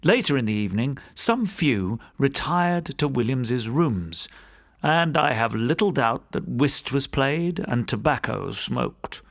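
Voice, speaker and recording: A man narrating a classic ghost story as a scripted audiobook, in a measured, literary storytelling manner. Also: medium pitch, animated, gravelly, a bit bright.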